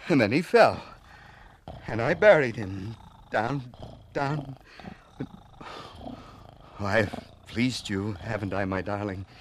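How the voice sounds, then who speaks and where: An actor playing a distraught, obsessed husband in an old-time radio drama, pleading and agitated, swinging between murmurs and outcries. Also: male voice, medium pitch. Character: distraught, obsessed husband devoted to his transformed wife. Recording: noisy vintage radio drama recording.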